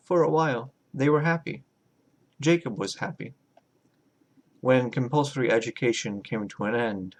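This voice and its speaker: Slow, deliberate man narrating horror. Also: medium pitch. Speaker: author reading his own horror short story. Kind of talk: scripted dramatic horror narration.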